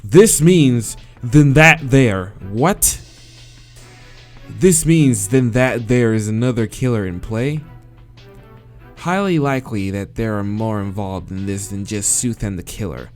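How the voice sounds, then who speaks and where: Low-voiced man reading a game aloud. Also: takes it slowly, faint music behind. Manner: hesitant muttering with swearing, then steady reading. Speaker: gamer reading a visual novel aloud. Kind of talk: let's play, reading dialogue and reacting.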